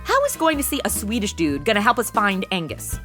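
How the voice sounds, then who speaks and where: A woman's voice: medium pitch, wildly swooping pitch, fairly quick, a bit bright, soft background music. An actress playing a brash, flippant, clueless young modern party woman with slangy talk in a comedic audio drama.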